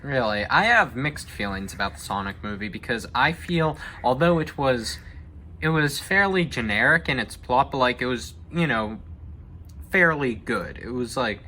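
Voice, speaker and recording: A man hosting a casual film discussion, talking in a halting, conversational way. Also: mid-range voice, expressive, pushed and bright, a little hiss or hum. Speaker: host.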